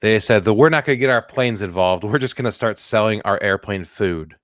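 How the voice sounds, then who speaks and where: A man with a low voice co-hosts a comedy podcast in a loose, joking way, riffing and putting on silly voices. The sound is dry and close, with no room in it. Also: fairly quick, no room sound. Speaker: podcast co-host. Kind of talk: casual comedic chat.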